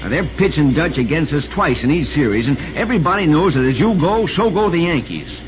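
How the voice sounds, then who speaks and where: A male actor plays an American baseball reporter in an old radio drama. His delivery is earnest and insistent, with lively swings of pitch. The vintage recording is dull, with faint noise. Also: fairly quick, lightly acted. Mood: urgent, insistent. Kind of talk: 1940s radio acting, dramatic narration.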